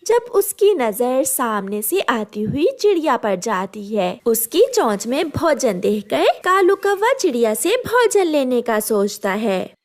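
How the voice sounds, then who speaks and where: High-pitched, lively woman story narrator. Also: slow pace, hardly any pauses. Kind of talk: scripted children's story narration.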